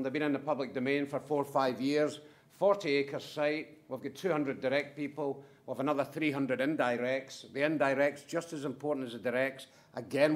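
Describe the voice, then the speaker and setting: Middle-aged man, conference speaker, brisk, punchy short sentences, mid-pitched voice. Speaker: conference speaker from a manufacturing firm. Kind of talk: conference presentation.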